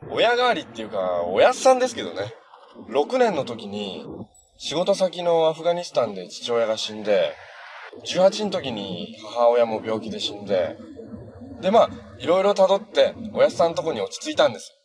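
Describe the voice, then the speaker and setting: Lively young hero played by a man. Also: mid-range voice, normal speed, crowd noise, lightly acted. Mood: earnest, thoughtful. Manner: casual, friendly, boyish phrasing. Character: cheerful, earnest, easygoing young hero. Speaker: actor in a TV superhero series. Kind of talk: naturalistic TV drama acting.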